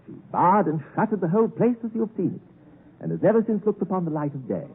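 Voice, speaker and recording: Husky, animated young English gentleman. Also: high-pitched, brisk, full character voice. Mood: genial, courteous. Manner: courteous, mannered, digressive. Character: genteel, tactful, cheerful young London gentleman. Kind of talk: 1950s radio drama acting. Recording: tinny, muffled 1950s radio broadcast recording.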